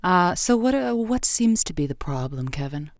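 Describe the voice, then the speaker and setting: A woman's voice: fairly low pitch, big pitch swings, moderate pace, dry recording, clean studio sound. A woman playing a radio advice show host who hedges, stammers and waffles over what to tell her callers. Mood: waffling. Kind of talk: improvised comedy call-in show.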